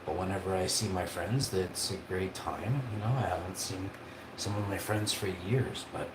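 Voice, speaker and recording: A man's voice: deep voice, normal speed, echoey room, background noise. A man, telling a rambling personal story on a livestream in a casual, chatty way. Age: 40s. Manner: casual and chatty, with frequent tag questions and restarts.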